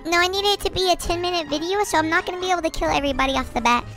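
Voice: very high-pitched, very fast. A child hosting story time in a game, bantering in a bossy, playful, chanting way. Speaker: host of a gaming story-time video. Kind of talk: playful banter while gaming. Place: online game voice chat. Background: music.

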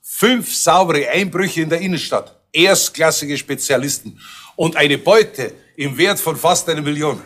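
A man's voice: fairly high pitch, measured pace, fairly bright tone. A man playing a shrewd, grumbling police inspector in a radio crime play, folksy and dry, with a Bavarian accent. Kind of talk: 1970s German radio play acting.

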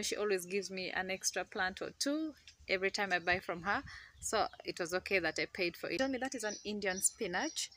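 A young Ugandan woman with a Ugandan accent, chatting excitedly to a phone camera in her outdoor garden. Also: medium pitch. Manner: excitable, chatty, laughs often. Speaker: gardening vlogger. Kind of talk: casual chat to camera. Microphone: phone camera held close.

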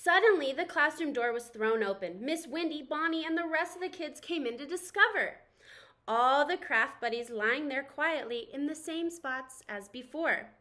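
A young woman reading a children's storybook aloud in an expressive narrating style. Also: sits high, moderate pace. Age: young adult.

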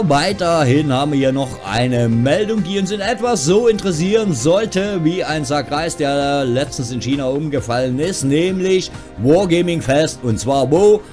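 A man with a slightly raised voice hosts a gaming news show. He talks in a chatty, informal way with wry asides. Soft music plays behind him. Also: on the high side. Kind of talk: casual, tongue-in-cheek news commentary. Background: background music.

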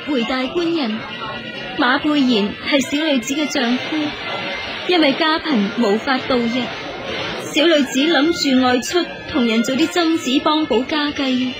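Poor dutiful wife, high pitch, deferential, radio drama, background music. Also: female voice, measured pace. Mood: plaintive, grateful. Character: humble, dutiful poor wife working as a maid. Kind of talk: Cantonese radio drama acting. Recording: music behind the dialogue.

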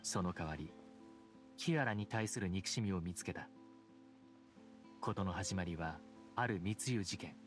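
An actor playing a dignified, aloof judge in a game, speaking in a formal, measured, reserved way. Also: male voice, low voice, faint music behind. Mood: grave, measured. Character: solemn, dignified supreme judge, an aloof grown man. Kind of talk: Japanese game voice acting.

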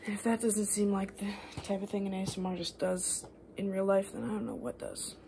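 A woman in her twenties doing soft-spoken, rambling ASMR, unscripted and self-deprecating, sometimes slipping towards a whisper. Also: mid-range voice, animated, brisk, vocal fry. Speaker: ASMR video creator. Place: small living room at home, indoors. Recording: faint room noise on home recording.

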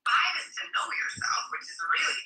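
A woman's voice: a little fast, sharp tone, a little hiss or hum. A woman guest talking animatedly over a video call, often acting out her own inner voice. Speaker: author and guest. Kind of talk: casual interview chat. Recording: distant echoey video call audio.

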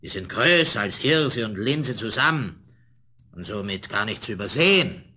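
A man's voice: on the low side, animated, moderate pace, pushed and bright, slightly rough, heavily acted. An actor playing a stubborn, confident woodcutter father in a fairy-tale audio play, grumbling and emphatic. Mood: reassuring.

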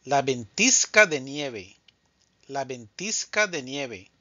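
A man teaching Spanish vocabulary in a scripted drill, speaking slowly and deliberately and saying each answer twice. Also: medium pitch, big pitch swings, bright, forceful tone, no echo, dull audio.

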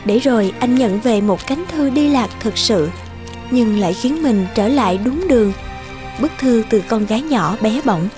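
Female storybook narrator: gentle, expressive, fairly high, music underneath. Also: normal speed. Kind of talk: scripted children's story narration. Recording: music behind.

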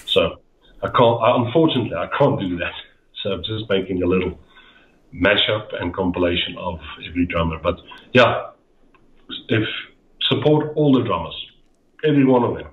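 A man's voice: low-pitched, lively intonation, moderate pace, long pauses. A middle-aged man with an Afrikaans accent, speaking as a guest in a casual interview over a video call, rambling and often repeating his words. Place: dim home room. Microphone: headset on a video call. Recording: echoey tinny video-call audio.

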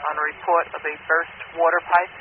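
Higher-voiced male dispatcher on staticky radio. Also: little pitch movement, brisk. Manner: repeats call details steadily. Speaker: fire dispatcher. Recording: tinny scanner radio with heavy static.

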